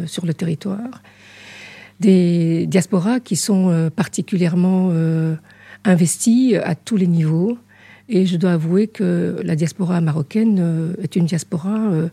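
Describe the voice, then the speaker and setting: A woman politician originally from Morocco answering interview questions in a measured, hesitant way, pausing often between phrases. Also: on the low side, creaky, dark tone, husky, dry recording. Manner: measured, hesitant, with frequent pauses. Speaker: politician guest.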